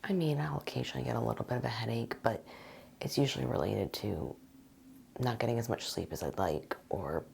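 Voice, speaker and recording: A woman playing a mild, cooperative patient with a sore back in an ASMR roleplay, answering in a hushed, hesitant way. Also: extremely low pitch, fairly quick, gravelly, a little hiss or hum, voice pushed down, heavily acted. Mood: quiet, cooperative. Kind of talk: ASMR medical roleplay, soft-spoken.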